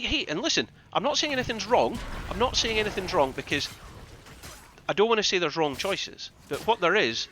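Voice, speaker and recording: Bright-voiced Scottish game caster, a man. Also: a little high, a little hiss or hum. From Scottish Highlands, northeast Scotland. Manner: conversational, rambling, repeats phrases for emphasis. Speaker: esports caster and streamer. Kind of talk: live game commentary with casual asides to chat.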